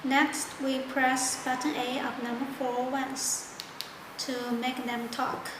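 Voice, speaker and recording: A high-voiced woman demonstrates a product step by step. She speaks slowly in halting, simple non-native phrasing. The recording has clear room echo. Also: level tone. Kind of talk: step-by-step tutorial narration. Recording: echoey room with loud background noise.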